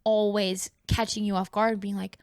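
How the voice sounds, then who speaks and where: A woman's voice: medium pitch, level tone, normal speed, darkish tone, no room sound, studio quality. A woman co-hosting a podcast in a casual brainstorming chat, slipping into imagined dialogue as she explains ideas. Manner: conversational, acts out imagined dialogue. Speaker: podcast co-host.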